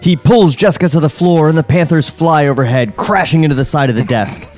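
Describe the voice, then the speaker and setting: A man's voice: a little high, brisk, a little mellow, faint music behind. A man reading screenplay stage directions and chatting casually as a podcast narrator. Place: podcast studio.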